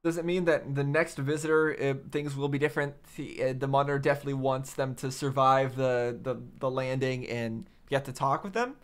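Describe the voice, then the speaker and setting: Man, middle-pitched voice, reaction host, casual commentary, thinking aloud, repeated phrases. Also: fairly even intonation, a little fast, hardly any pauses, clear, resonant tone. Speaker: host of a game reaction channel.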